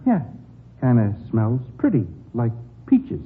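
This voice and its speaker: An actor playing a giddy, thirsty, dim-witted gangster's henchman in a 1950s radio crime drama, excitable and repeating himself. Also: mid-range voice, takes it slowly. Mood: boozy, excitable. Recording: tinny, noisy 1950s radio broadcast recording.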